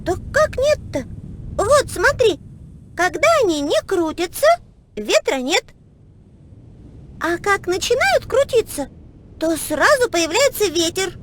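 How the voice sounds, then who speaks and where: Very high-pitched curious cartoon little girl. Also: slow pace, pauses often, a little hiss or hum, heavily acted. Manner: expressive, wondering aloud. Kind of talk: children's educational cartoon acting.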